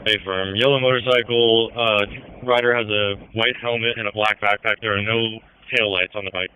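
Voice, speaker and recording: A man's voice: lowish voice, fairly even intonation, normal speed, pushed and bright, smooth, no room sound. A man working as a police officer, giving brief factual field reports over police radio. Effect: police radio transmission. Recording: noisy police radio with vehicle sound.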